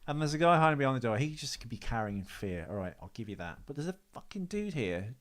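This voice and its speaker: A man's voice: mid-range voice, very animated, quick pace. A man co-hosting a podcast, chatting casually and sarcastically about films, rambling and swearing. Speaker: podcast co-host. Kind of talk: casual film chat.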